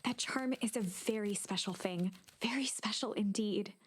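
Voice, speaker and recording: A woman playing a mysterious, kindly storybook narrator in a game, speaking in a measured, theatrical way. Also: medium pitch, slightly rough, natural voice. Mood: pleased, warm. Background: guitar music.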